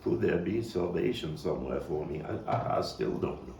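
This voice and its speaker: A man performing a stage monologue as a haunted Brooklyn man confessing a boyhood killing, halting, brooding, bitter and anguished. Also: low-pitched, lively intonation, creaky, mellow. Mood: tormented, remorseful. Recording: roomy, distant mic, faint noise.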